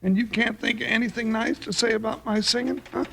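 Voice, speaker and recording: An actor playing a kindly, folksy old cowboy sidekick with a cracking, wheezy voice in a 1950s Western radio drama. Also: male voice, extremely high pitch, flat delivery, brisk, vocal fry, a bit bright, slight background noise, exaggerated voice. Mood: mock-offended. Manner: folksy Western drawl, swooping, blustery.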